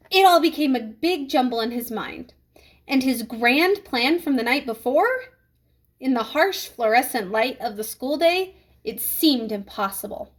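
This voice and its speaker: High-pitched, animated woman reading aloud. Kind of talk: children's read-aloud storytelling.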